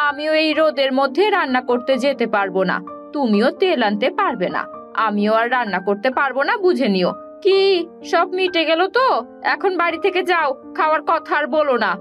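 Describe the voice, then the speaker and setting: Woman playing a feisty cartoon wife, very high voice, scolding, exasperated, music clearly behind. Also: animated, normal speed, a bit bright. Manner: scolding, retorting. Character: feisty nagging village wife, sharp-tongued and exasperated. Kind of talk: animated cartoon dialogue.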